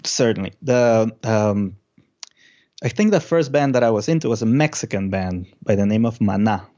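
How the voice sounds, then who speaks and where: A male musician from Peru who grew up speaking recounts things in a relaxed interview. His voice sits on the low side and he feels his way through sentences haltingly. The sound is a little dull and lo-fi. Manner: halting, thinking aloud. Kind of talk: casual interview storytelling.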